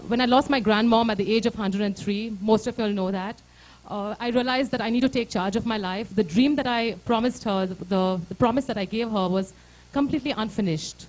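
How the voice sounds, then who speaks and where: Female author at a launch event, slightly high, earnest, halting with fillers. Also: a little mellow, rough recording. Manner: hesitant, filler-heavy, earnest. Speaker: author launching her book. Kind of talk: speech at a book launch.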